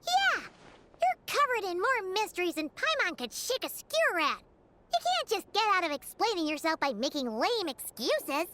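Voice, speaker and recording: A woman voicing a tiny, excitable, childlike fairy companion in a video game, perky and a little whiny. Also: very high voice, moderate pace, heavily acted. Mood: indignant. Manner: perky, whiny, speaks of herself in third person.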